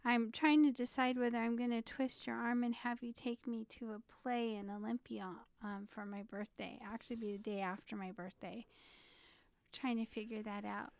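A woman's voice: a little high, fairly even intonation, normal speed, creaky, darkish tone. A woman in her forties chatting casually with her husband at home, teasing him in a rambling, meandering way. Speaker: podcast co-host. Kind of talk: casual chat with spouse.

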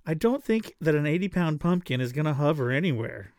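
A man's voice: fairly high pitch, animated, a little fast, a little mellow, dry recording, clean studio sound. A man in his late forties chatting casually with his wife at home, with wry, grumbling, self-mocking remarks. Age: 40s. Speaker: podcast co-host. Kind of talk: casual chat with spouse.

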